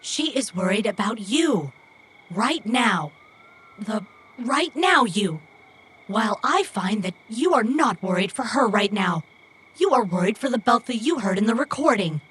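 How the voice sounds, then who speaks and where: Slow-talking, stilted, earnest young runner. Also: female voice, mid-range voice, animated, fairly bright tone, slight background noise. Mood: earnest, solemn. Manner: formal, stilted, precise phrasing without contractions. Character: earnest, literal-minded young female runner, childlike and stubborn. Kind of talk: audio drama acting.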